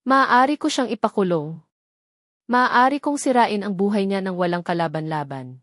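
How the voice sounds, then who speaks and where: A woman voicing a cold, wealthy stepmother in a storybook drama, soft and sweet but full of menace. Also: medium pitch, expressive, normal speed, no room sound, clean studio sound, lightly acted. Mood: cold threatening.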